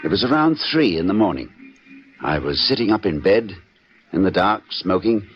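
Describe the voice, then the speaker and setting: A dull vintage radio recording with faint music carries a jittery, unraveling man. His delivery is intense and clipped, theatrical, with pitch that swings widely in classic suspense style. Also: expressive, moderate pace. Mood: grim, weary. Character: jittery, unraveling man confessing to a police lieutenant. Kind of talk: 1940s-50s radio suspense acting.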